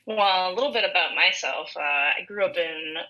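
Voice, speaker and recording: A woman's voice: lowish voice, normal speed, sharp tone, low-quality recording. A woman in her twenties with a Minnesotan accent, chatting casually and enthusiastically on a podcast in a rambling way, recorded over an internet call. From rural western Minnesota, USA. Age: 20s. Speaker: podcast co-host.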